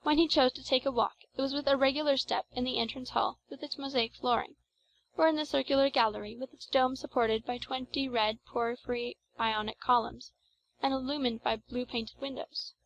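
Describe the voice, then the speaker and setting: A woman narrates an audiobook of classic fiction. Her voice sits somewhat high, and her delivery is measured and formal, as scripted storytelling. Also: steady tone, creaky, highs cut off.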